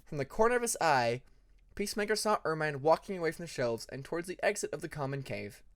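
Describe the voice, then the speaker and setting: Narrator reading a fantasy fanfic, mid pitch, really animated and expressive. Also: fast. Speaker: audiobook narrator. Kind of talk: expressive fan fiction narration.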